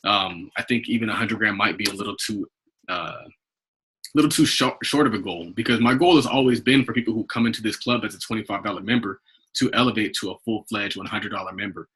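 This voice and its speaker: An American man with a husky voice leading an informal investment club call, talking in a rambling, conversational way. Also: lowish voice, fairly quick, a bit bright. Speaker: investment club leader hosting a conference call. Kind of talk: informal group call talk, rambling updates. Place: online group video call.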